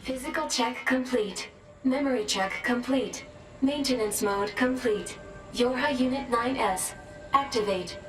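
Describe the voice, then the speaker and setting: A female support robot from a sci-fi game. The voice is fairly high, formal and clinical, delivering measured reports without emotion. Clear music plays behind the echoey sound. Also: fairly bright tone. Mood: clinical, flat. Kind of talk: scripted game status reporting.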